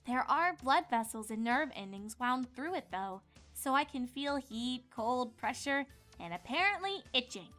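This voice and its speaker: A woman voicing a young, bookish and easily exasperated unicorn princess, fretful and expressive, in a cartoon fan fiction audio drama. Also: high-pitched, measured pace, exaggerated voice. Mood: explanatory. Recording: music behind the voice.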